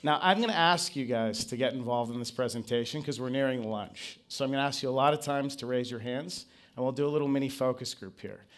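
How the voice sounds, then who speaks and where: A man's voice: mid-range voice, fast, few pauses. A man speaking English as his first language, giving a conference presentation and drawing the audience in with questions. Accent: non-Brazilian English accent. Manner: engaging, asks the audience to raise hands. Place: conference stage.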